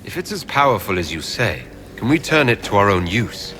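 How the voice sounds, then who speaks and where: An actor playing a composed fantasy game hero and leader, speaking in a direct, questioning way. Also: male voice, on the low side, big pitch swings, a little fast, bright, forceful tone, faint music behind. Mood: composed, inquiring. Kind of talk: brief game dialogue.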